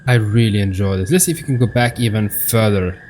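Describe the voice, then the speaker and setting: A man's voice: fairly low pitch, brisk, a little mellow, slight background noise. A man giving casual, off-the-cuff commentary as he plays a game, thinking aloud and repeating himself. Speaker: gamer commentating on a game playthrough. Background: game music.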